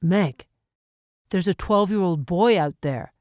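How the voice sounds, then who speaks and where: A woman narrator voicing a resolute, calm mountain man in an audiobook, steady and reassuring. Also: fairly low pitch, slow pace, no echo, studio quality. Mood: grave, resolute. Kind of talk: audiobook character acting.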